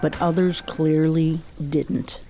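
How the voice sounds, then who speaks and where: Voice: medium pitch, level tone, slow pace, dark tone, faint music behind. A narrator with an American accent reading scripted narration for a radio drama.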